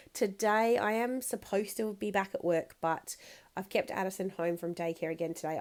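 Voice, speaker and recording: A woman in her thirties with a croaky, congested voice, chatting casually in a voice-over while she scrapbooks, rambling with lots of ums. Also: on the low side, darkish tone. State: croaky from a cold. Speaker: scrapbooking video host.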